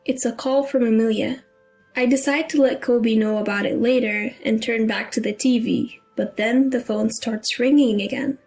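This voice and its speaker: Woman narrator reading a personal story, kinda high voice, bit of music under it. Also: fairly even intonation, normal speed, darkish tone.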